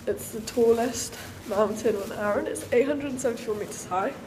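A woman's voice: a little high, brisk. Chatty young woman vlogging on a phone. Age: young adult. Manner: chatty, upbeat, talks to camera while hiking. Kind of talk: casual vlog chat. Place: outdoors on a windy hillside and indoors. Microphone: phone held at arm's length. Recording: noisy phone recording.

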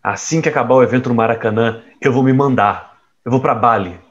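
A man playing a reckless young man in an audio drama, speaking casually in a medium-pitched voice. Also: a little fast, dull audio, close to an everyday voice. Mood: confident. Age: young adult. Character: reckless young man caught in crooked dealings.

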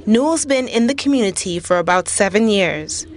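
A woman's voice: mid-range voice, expressive, normal speed. A woman reporter reading a scripted narration for a local news feature.

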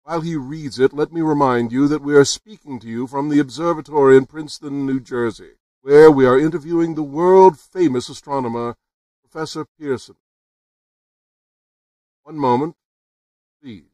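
A man's voice: fairly high pitch, moderate pace, long pauses, mellow, no echo, far from the mic, close to an everyday voice, low-quality recording. A man acts out an earnest radio news reporter in an old-time radio drama. He announces formally, as if live on location. The sound is dry and a little away from the microphone. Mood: formal, announcing. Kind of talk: 1930s radio drama acting, live broadcast commentary.

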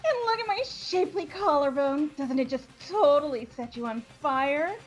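Manic high-pitched schoolgirl killer. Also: lively intonation, moderate pace, rough recording. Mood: gleeful menace. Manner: taunting, theatrical. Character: manic, gleeful, murderous teenage schoolgirl serial killer. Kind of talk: video game character voice-over. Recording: music bed behind voice.

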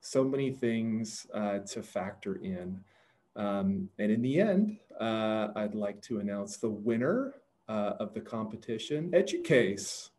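A man speaking as a judge on a video call, informally announcing an award with halting pauses. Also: lowish voice, very animated, a little mellow. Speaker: competition judge.

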